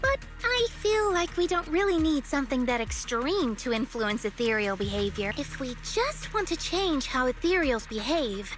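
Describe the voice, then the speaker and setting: Young game heroine, high-pitched, gentle, earnest, thoughtful explainer, music underneath. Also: female voice, sing-song, normal speed, few pauses. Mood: explanatory, focused. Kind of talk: video game voice acting. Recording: music behind the voice.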